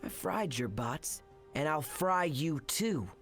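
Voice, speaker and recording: A man's voice: on the high side, lively intonation, slow pace, exaggerated voice. A man voicing a wisecracking young male space captain in a video game, breezy and joking. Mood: cocky. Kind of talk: video game cutscene acting. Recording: game cutscene with loud music behind.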